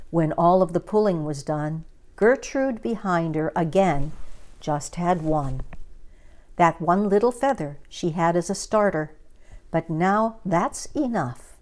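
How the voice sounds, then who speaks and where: Slow-paced woman reading a storybook. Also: on the low side, expressive, a little mellow. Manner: dramatic, deliberate. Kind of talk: expressive children's story reading.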